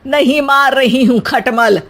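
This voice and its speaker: A woman's voice: sits high, slow, a bit bright, full character voice. A woman voicing an exasperated, sarcastic middle-aged mother-in-law in a cartoon comedy, scolding in a wry, colloquial way. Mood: irritated.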